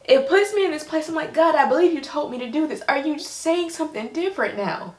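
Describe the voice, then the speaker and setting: A woman vlogger speaking candidly and informally in a halting way, as a writer talking about her own creative work. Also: high voice, expressive. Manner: halting, informal, acts out quoted remarks. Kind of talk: candid personal vlog. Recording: echoey room sound.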